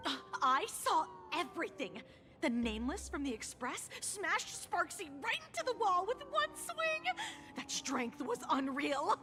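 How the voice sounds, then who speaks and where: A woman playing an awestruck, excitable schoolgirl bystander in an anime-style game. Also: very high-pitched, measured pace, soft background music, full character voice. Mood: amazed.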